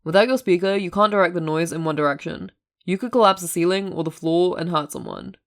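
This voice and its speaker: A woman voicing a calm, stubborn man who talks in a dry, matter-of-fact way in an audio story. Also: on the low side, fairly quick, creaky.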